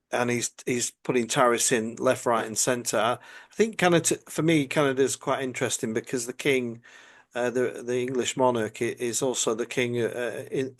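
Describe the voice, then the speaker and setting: A British man hosting a podcast interview, asking long, halting questions full of stammers and fillers. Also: medium pitch. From United Kingdom. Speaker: podcast host.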